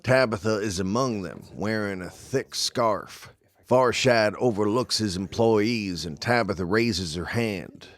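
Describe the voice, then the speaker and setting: A man's voice: fairly low pitch, measured pace, a bit bright. A man reading scripted narration for an audio drama as its narrator.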